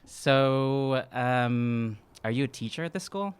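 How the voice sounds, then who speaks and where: A man playing a tabletop game, improvising casually in a laid-back, halting way. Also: mid-range voice, lively intonation, smooth. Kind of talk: casual improvised role play.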